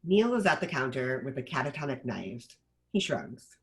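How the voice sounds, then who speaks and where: A narrator reading stage directions in an animated way for a screenplay table read. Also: medium pitch, moderate pace.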